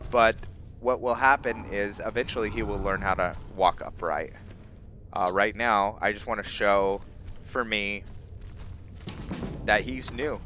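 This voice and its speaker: A man giving casual let's play commentary as he roleplays, rambling and halting as he thinks aloud. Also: fairly low pitch, background noise. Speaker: gaming video host, roleplayer.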